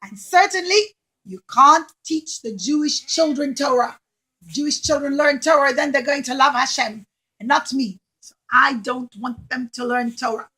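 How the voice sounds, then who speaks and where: A woman voicing an imperious, jealous male king in a children's puppet show, declaiming loudly and slowly. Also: high-pitched, rough recording. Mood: stern, threatening. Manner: loud, slow, declaiming.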